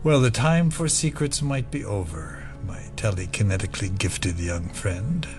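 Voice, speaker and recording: An actor as a low-voiced, sly conspirator over music. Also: male voice, expressive, moderate pace, a little mellow, lightly acted. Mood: quietly menacing. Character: sly, unhurried male conspirator plotting quietly. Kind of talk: audio drama acting. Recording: music under the voice.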